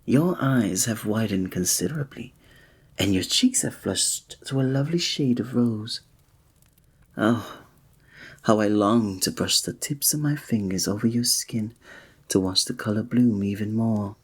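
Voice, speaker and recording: A man playing a breathy, flirtatious innkeeper. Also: on the low side, measured pace. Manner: formal, courtly and flirtatious. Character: flirtatious courtly male village innkeeper. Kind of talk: ASMR roleplay.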